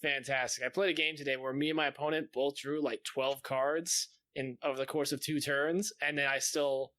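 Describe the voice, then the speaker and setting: Male podcast host, medium pitch, casual and slangy, close dry recording. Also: fairly even intonation, normal speed, bright, forceful tone, no room sound. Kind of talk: casual gaming chat.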